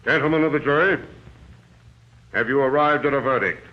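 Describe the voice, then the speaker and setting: An actor playing a stern, formal courtroom judge in 1940s radio acting style. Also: male voice, medium pitch, normal speed, lightly acted. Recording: dull old radio recording.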